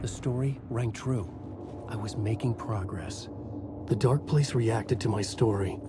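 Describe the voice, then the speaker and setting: An actor playing a brooding, desperate male crime writer, narrating his own nightmare in deliberate first-person noir style in a game. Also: lowish voice, background noise, close to an everyday voice.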